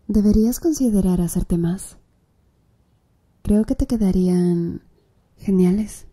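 A slow, flirty tattoo artist with a dark, mellow tone, as a woman plays her for an ASMR roleplay. She speaks softly and breathily, teasing her client with easy confidence. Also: mid-range voice. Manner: soft, breathy, teasing with little laughs.

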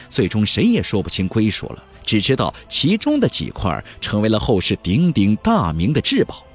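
A man's voice: mid-range voice, husky. A man narrating a fantasy audiobook in a dramatic, theatrical and emphatic storytelling style. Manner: theatrical, emphatic, with exclamatory flourishes.

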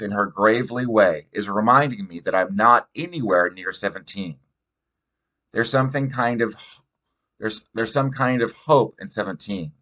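A man reading a novel aloud in an expressive storytelling style. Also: lowish voice, low-quality recording. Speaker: audiobook reader.

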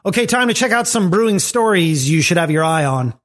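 A man's voice: sits high, lively intonation, brisk, a bit bright. A man hosting a podcast, reading scripted commentary with wry asides. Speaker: podcast host. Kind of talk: scripted commentary on tech and music.

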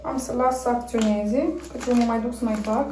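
Woman, 30s, from Romania, casual chore vlog, phone-recorded at home, chatty with fillers, slightly high voice. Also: steady tone, darkish tone, a little hiss or hum. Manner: chatty, talks while doing chores, frequent pauses and fillers. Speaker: family vlogger. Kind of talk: casual clean-with-me vlog narration. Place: family home, indoors. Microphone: phone camera.

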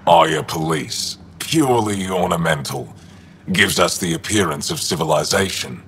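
An actor playing a gruff, war-hardened alien resistance leader in a video game, terse, dry and cynical with a gravelly voice. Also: male voice, deep voice, lively intonation, unhurried, a bit bright, a little hiss or hum, full character voice. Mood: curt, dry. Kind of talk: video game dialogue acting.

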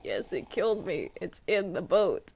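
A woman's voice: fairly low pitch, sing-song, measured pace, a little mellow, slightly rough. A woman chatting casually while gaming, with a hesitant, stammering way of talking. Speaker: gamer in a Minecraft roleplay. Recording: noisy home game-chat recording.

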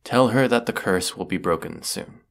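A man playing a proud, brooding, sardonic wizard of the woods in a scripted audio roleplay, speaking haughtily and deliberately. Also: lowish voice, creaky, fairly bright tone. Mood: grave, determined.

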